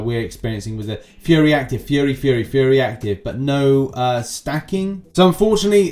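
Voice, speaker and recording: A man with a mellow, relaxed voice at a medium pitch presents gaming news. He speaks as a host addressing viewers, leaning into the starts of topics and letting his asides tail off.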